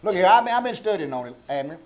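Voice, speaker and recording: A man's voice: sits high, sing-song, racing. A man playing a self-important, scheming rural old-timer with a Southern American accent, folksy and mock-formal, in a radio comedy reenactment. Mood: pompous. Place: small-town theater stage before an audience. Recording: live theater recording with background noise.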